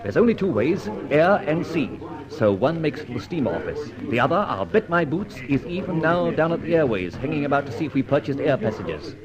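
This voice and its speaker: A man with a British accent playing a cheeky, unafraid gentleman adventurer, flippant and clipped, in an old-time radio detective drama. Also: on the high side, very animated, brisk, few pauses, crowd noise. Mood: brisk. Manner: flippant, clipped upper-class banter. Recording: muffled old radio recording, faint noise.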